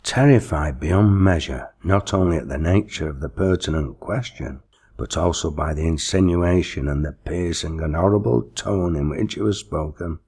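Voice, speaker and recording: A man reading a story aloud as expressive, theatrical, deliberate scripted narration. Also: very deep voice, husky. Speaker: audiobook reader.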